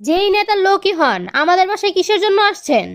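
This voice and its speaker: Woman playing a feisty, very high-voiced expectant mother. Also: sing-song, quick pace. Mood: indignant. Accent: Bangladeshi. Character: feisty, indignant expectant young village mother. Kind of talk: cartoon dialogue.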